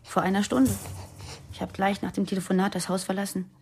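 An actress playing an uneasy young militant woman confiding secrets in a radio drama, hushed, urgent and earnest. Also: medium pitch, level tone, brisk, slight background noise, lightly acted. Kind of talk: German radio drama dialogue.

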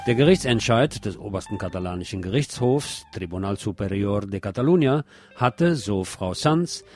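A man's voice: lowish voice, darkish tone. A man presenting radio news, reading from a script in a narrated style.

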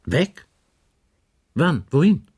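An actor in a German radio crime play playing a patient, wry police inspector who questions in a dry, probing way. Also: male voice, on the high side, very animated, very slow, darkish tone, husky, exaggerated voice. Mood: wry, pressing. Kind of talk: 1980s German radio drama dialogue.

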